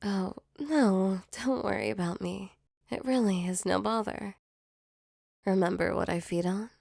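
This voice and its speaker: Casting: woman as affectionate sleep demon, creaky soft voice, close and dry, reassuring ASMR roleplay delivery. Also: medium pitch, a little slow, dry recording. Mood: gentle, matter-of-fact. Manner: soothing, tender, reassuring. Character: gentle female sleep paralysis demon, affectionate and protective. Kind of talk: ASMR comfort roleplay, soft intimate acting.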